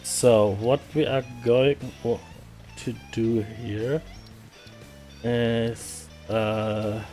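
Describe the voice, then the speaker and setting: A man from Germany with a German accent, chatting casually while playing a game, often repeating words and pausing with fillers. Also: mid-range voice, little pitch movement, mellow, faint music behind. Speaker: gaming streamer. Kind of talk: casual gameplay chat.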